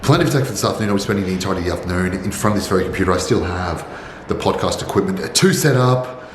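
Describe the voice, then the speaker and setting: A man's voice: low-pitched, expressive, rapid-fire. A man with a congested voice, speaking in formal, wordy phrasing as he owns and narrates his barbershop vlog. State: congested, sick. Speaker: barbershop owner and vlogger. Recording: roomy echo with faint noise.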